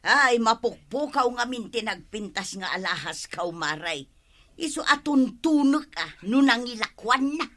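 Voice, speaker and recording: A woman's voice: a little high, lively intonation, a little slow, fairly bright tone. A woman with an Ilocano accent playing a scolding, suspicious, insistent wife in a radio comedy drama. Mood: agitated, complaining.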